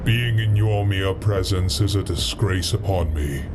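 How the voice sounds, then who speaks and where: A man's voice: deep voice, a little slow, heavy background noise. A man playing a proud, menacing alien shipmaster in a sci-fi audio drama, solemn and full of contempt. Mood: imperious, scornful. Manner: solemn, imperious, contemptuous of humans. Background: music.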